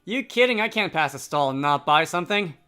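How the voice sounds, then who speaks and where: A man's voice: a little high, expressive, a little fast, pushed and bright, smooth. A man voicing a cheery, food-loving male scholar adventurer from a game, breezy and colloquial. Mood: cheerful.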